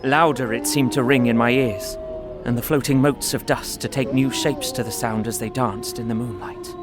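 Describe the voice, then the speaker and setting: Actor as haunted young Englishman, medium pitch, intense dramatic journal narration, despairing, faint music behind. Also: fairly even intonation, moderate pace, lightly acted. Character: frightened, despairing young Englishman imprisoned, writing his journal. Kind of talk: dramatic first-person audio drama narration.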